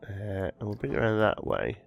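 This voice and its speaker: A man's voice: fairly low pitch, very animated, fairly quick, creaky. Sniffly man musing over gameplay. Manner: thinks aloud, muttering and self-correcting while building. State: slight head cold, sniffly. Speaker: let's play host. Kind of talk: casual gameplay commentary.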